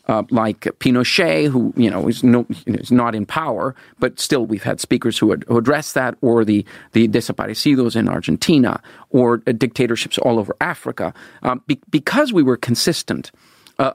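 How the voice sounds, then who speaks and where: Interview guest: middle-aged man, gravelly, dry close sound, earnest, stumbles on restarts. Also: medium pitch, dry recording. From Venezuela. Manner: earnest, repeats words when starting sentences. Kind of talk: political interview.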